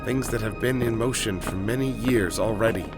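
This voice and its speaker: A man's voice: lowish voice, normal speed, close to an everyday voice. A man voicing an earnest, diplomatic advisor who speaks in confiding tones in a fantasy audiobook drama. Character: robed male advisor, earnest, diplomatic, apologetic. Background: background music.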